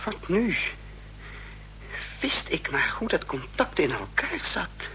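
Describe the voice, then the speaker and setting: Tense young fugitive, slow halting delivery, radio play, noisy humming recording. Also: male voice, high-pitched, huge pitch swings, sharp tone, full character voice. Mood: agitated. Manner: halting, deliberate. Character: tense young man in hiding, posing as another. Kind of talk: Dutch radio drama, acting and first-person narration.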